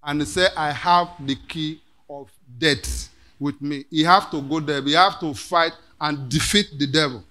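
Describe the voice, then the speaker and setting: A man's voice: a little high, unhurried, pushed and bright. A middle-aged man preaching a sermon at a podium in a church hall, quoting scripture and asking the congregation rhetorical questions. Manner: emphatic, quotes scripture in King James wording, asks rhetorical questions. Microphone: podium mic.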